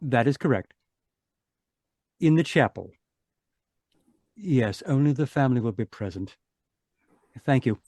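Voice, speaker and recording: A man's voice: medium pitch, lively intonation, unhurried, long pauses, darkish tone, no echo. A man acting a courteous, matter-of-fact funeral chapel clerk making a phone call in a radio drama. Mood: polite, businesslike. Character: polite, businesslike funeral chapel clerk telephoning.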